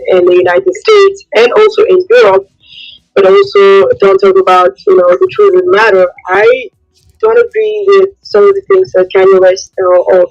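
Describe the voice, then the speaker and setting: A woman's voice: very high-pitched, creaky, darkish tone. A woman born in Somalia calling in to a radio show, speaking earnestly with many hesitations. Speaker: caller. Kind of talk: phone-in comment. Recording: muffled phone-in line.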